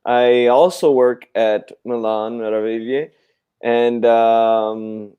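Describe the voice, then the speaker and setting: Co-host, man; clear, resonant delivery, unhurried. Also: mid-range voice, flat delivery, highs cut off. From Puerto Rico. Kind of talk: casual live-stream chat.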